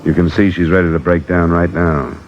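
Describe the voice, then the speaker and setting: A man's voice: sits low, little pitch movement, brisk, a little hiss or hum, natural voice. An actor playing a blunt, probing investigator who narrates his case, dry and direct, in a 1950s radio detective drama. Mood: blunt, confronting. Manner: dry, direct, matter-of-fact. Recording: dull lo-fi old radio broadcast.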